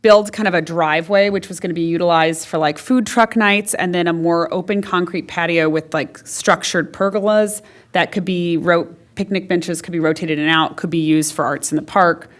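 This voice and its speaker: A woman's voice: lowish voice, fairly even intonation, normal speed. A woman presenting options at a public meeting in a council chamber, chatty and full of "like" and fillers.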